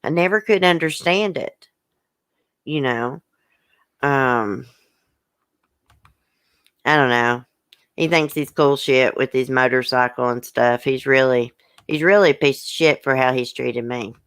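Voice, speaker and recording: A woman's voice: a bass voice, unhurried, long pauses, fairly bright tone, clear, resonant tone, no echo. A woman hosting a livestream, upset and hurt, bluntly venting and reading viewer comments aloud in casual, swearing speech. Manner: emotional, blunt, colloquial, swears. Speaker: YouTube channel host.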